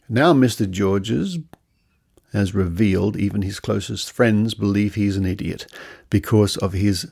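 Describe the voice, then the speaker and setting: A man's voice: lowish voice, vocal fry, a little mellow. A man hosting a podcast, commenting on news and reading quotes with conversational asides. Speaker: podcast host.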